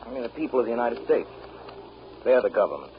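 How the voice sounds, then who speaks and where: A man's voice: mid-range voice, expressive, fast, close to an everyday voice. An actor playing a patient, kindly father who explains things plainly in a 1930s radio drama. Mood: patient, explanatory. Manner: colloquial, explanatory, deferential to authority. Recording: muffled, hissy 1930s radio recording.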